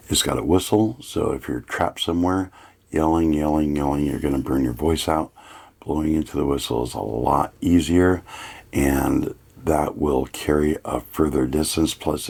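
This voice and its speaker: A man with a gravelly, husky voice giving a casual, plain-spoken hands-on product review. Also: a bass voice, darkish tone.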